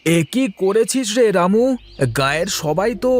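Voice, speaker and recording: A man's voice: sits high, animated, moderate pace. A man voicing a gossipy, cautioning villager in a cartoon, familiar and insistent in manner. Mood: teasing, warning. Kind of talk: Bengali cartoon voice acting.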